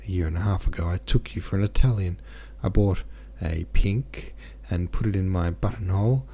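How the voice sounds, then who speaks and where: A man with a deep voice reading the lines of a sad, gentle young merchant from a novel. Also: normal speed, husky. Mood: heavy-hearted. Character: young male merchant, heavy-hearted and gentle.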